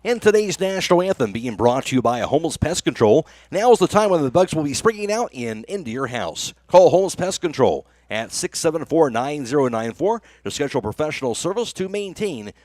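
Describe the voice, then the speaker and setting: Lively higher-voiced radio sports man. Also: brisk, few pauses, dry recording. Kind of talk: radio sponsor read and game introduction.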